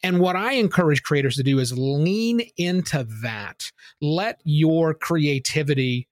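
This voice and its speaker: A man's voice: fairly high pitch, expressive, unhurried, dry recording, studio quality. A man answering interview questions in an opinionated, emphatic way, explaining his points with examples. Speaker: podcasting guest expert.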